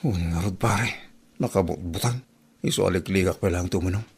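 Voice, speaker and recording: A man's voice: low-pitched, moderate pace, a little mellow, slightly rough, full character voice. A man with an Ilocano accent playing a henpecked, sheepish drinking husband in a comedy drama podcast, whiny and exaggerated. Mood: complaining.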